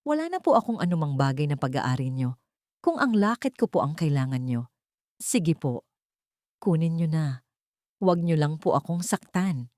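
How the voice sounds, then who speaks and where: A woman voicing a sick, exhausted poor woman, deferential and halting yet dignified, in an audiobook drama. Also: low-pitched, sing-song, darkish tone, no room sound, clean studio sound. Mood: weak, bitter. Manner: weak, weary, deferential, halting.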